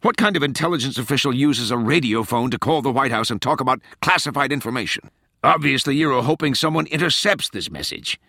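A man voicing a domineering female senior presidential advisor, raspy, stern and cutting, in a dramatized audiobook. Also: medium pitch, a little fast, pushed and bright, dry recording, studio quality, pitched above the performer's own voice. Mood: icy contempt.

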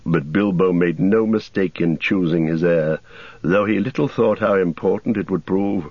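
A man voicing an earnest young male hobbit gentleman who speaks in a gentle but firm way. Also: sits low, moderate pace, husky, dry recording, lo-fi sound. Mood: thoughtful.

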